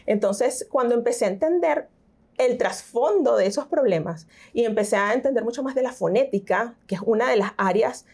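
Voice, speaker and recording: A woman's voice: a little high, brisk. Venezuelan woman explaining phonics. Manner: explanatory, animated, frequent fillers. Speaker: teacher and tutor, interview guest. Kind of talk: conversational interview.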